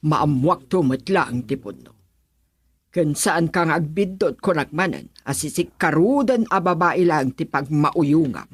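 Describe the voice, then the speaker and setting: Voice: mid-range voice, expressive, measured pace, husky, dry recording, studio quality. A radio drama performer playing a stern mother who scolds her son about his nights at the club. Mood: scolding. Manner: scolding, disapproving.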